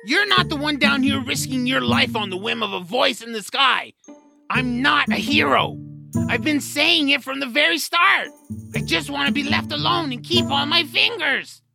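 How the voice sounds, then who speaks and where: A woman voicing a small, grumbling, cowardly troll with a creaky, whiny, theatrical delivery in a family audio drama. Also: high-pitched, lively intonation, moderate pace, full character voice. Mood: grumbling, defensive. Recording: music under the voice. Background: background music.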